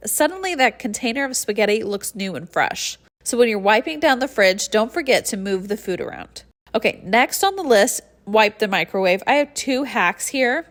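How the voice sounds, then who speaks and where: A woman's voice: a little high, animated, moderate pace, a bit bright. A congested young woman with a nasal, stuffy voice, chatting casually through a cleaning tutorial voice-over and breathing heavily. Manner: friendly and chatty, with light laughs and heavy breathing. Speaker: cleaning channel host.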